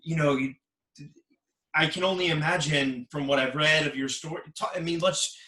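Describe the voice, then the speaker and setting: A man's voice: fairly high pitch, moderate pace, pushed and bright. A man hosting a podcast, asking questions eagerly and gushing over his guest. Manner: eager, effusive interviewer. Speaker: podcast host. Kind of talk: casual interview conversation. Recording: echoey room.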